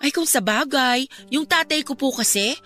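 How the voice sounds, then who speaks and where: Radio drama casting: woman playing a courteous young Filipina friend, bright forward voice, respectful but quietly stubborn, soft music underneath. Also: high voice, moderate pace. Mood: pointed, innocent. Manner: polite, deferential yet pointed.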